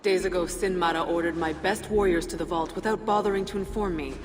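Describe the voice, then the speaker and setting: An actress playing a proud, wary fire-giant warrior princess in a game cutscene, formal and imperious in manner. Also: female voice, on the low side, fairly even intonation, quick pace, slight background noise, lightly acted. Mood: cool, demanding. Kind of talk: dramatic game cutscene acting. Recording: long hall reverb, faint music behind.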